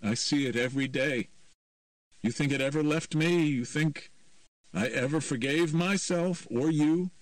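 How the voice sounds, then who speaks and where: A man's voice: fairly high pitch, unhurried, no echo, distant mic, pitched above the performer's own voice, close to an everyday voice, low-quality recording. A man voicing a tormented, suicidal teenage boy whose speech is raw and anguished. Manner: raw, anguished, turning icy cold.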